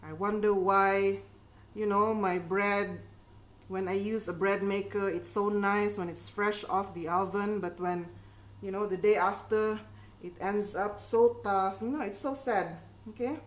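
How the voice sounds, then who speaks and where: A woman's voice: medium pitch, steady tone, measured pace. Chatty middle-aged woman teaching baking. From Philippines. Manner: encouraging, chatty, reassuring, with tag questions like okay. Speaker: home baking instructor and cooking show host. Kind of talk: casual cooking demonstration, code-switching chat.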